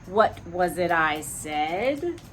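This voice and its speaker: A strict storybook mother monkey who drags out every word as she scolds, as a woman puts her on. The voice sits a little lower than the narration and moves very slowly. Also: big pitch swings, soft background music, heavily acted. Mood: stern. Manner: slow, stern, scolding.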